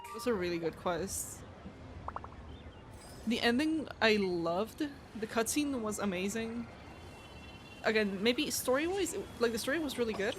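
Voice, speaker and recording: A young woman streamer giving casual live reactions to a video game, with music playing behind the voice. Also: fairly high pitch.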